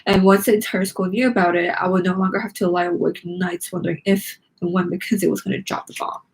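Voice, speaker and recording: A woman's voice: lowish voice, flat delivery, fast talker, husky, rough recording. A woman reading a children's story aloud with dramatic expression, acting out the lines. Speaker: read-along narrator of a children's book.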